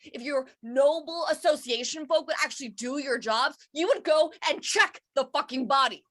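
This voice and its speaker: A woman's voice: high-pitched, moderate pace, a bit bright. An actress playing an indignant, foul-mouthed female cougar accused of wrongdoing in a staged reading. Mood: angry, indignant. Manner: indignant, sweary, blunt.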